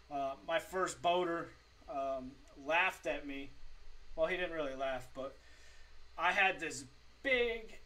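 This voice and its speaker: A man in his forties giving informal tips to camera in a halting, improvised way from a home room. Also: a little high, bright, forceful tone, distant mic, low-quality recording. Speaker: fishing tips presenter. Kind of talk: informal tutorial talk to camera. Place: small home streaming room, indoors.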